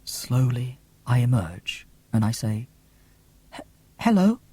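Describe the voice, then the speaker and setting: A man's voice: mid-range voice, very animated, takes it slowly, mellow, husky, no echo, pitched below the performer's own voice, full character voice. A man voicing a romantic young woman reading out as a diarist in an audiobook, breathless, tender and wide-eyed. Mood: awed, nervous. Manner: wide-eyed, gasping, earnest storytelling.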